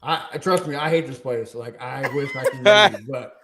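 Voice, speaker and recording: A man's voice: mid-range voice, normal speed, a crowd in the background. A man bantering on a sports podcast, joking and laughing between his phrases.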